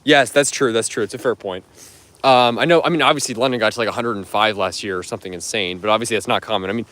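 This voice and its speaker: A man's voice: lowish voice, fast, fairly bright tone. A man hosting a live stream from public gardens outdoors, chatting with viewers in a rambling, friendly way full of fillers and restarts. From United States. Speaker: live-stream host answering chat. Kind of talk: casual live-stream chat while walking.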